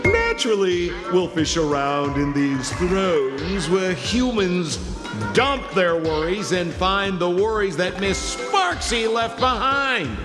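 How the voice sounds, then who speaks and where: A man's voice: high-pitched, big pitch swings, slow, full character voice. An actor playing a flamboyant, mischievous con man in a video game, theatrically chummy and teasing. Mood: eager, theatrical. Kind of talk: video game character acting. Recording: echoey reverberant space with game music.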